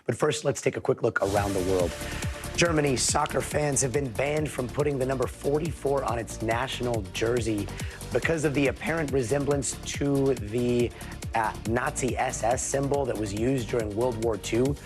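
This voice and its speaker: Hoarse male news anchor. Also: medium pitch, normal speed, over music. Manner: punny, playful asides. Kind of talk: news presenting.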